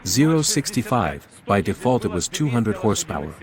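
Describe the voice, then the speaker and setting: A man's voice: fairly low pitch, very animated, moderate pace, crowd noise. A man from Ukraine hosting a car show, walking around the cars outdoors and questioning the owner. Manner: guiding, asks questions, addresses viewers as guys. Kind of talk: car review walkaround.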